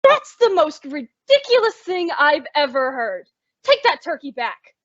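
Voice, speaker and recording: A woman's voice: sits high, sing-song, measured pace, lo-fi sound. A woman playing a sensible young roommate pushed to exasperation, emphatic and dramatic, in an old-time radio comedy. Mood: exasperated.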